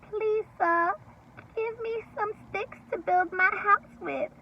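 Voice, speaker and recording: Woman doing a high-pitched little storybook pig. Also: slow, full character voice. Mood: polite, pleading. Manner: high, pleading, singsong. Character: polite, eager little pig, young storybook animal. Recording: distant, lo-fi home recording, faint noise.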